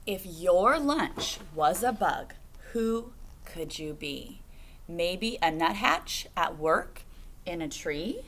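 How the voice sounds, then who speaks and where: A woman's voice: lowish voice, big pitch swings, slow, fairly bright tone, slight background noise. A woman reading a picture book aloud to children like a teacher, phrasing expressively and putting questions to her young listeners.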